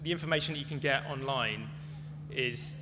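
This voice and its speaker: A man's voice: mid-range voice, moderate pace. Man explaining informally in an echoey, humming hall. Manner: tentative, hedged explaining. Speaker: conference speaker answering audience questions. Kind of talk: informal Q&A talk. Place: large echoey venue at a live talk. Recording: echoey hall, clear background noise, hum.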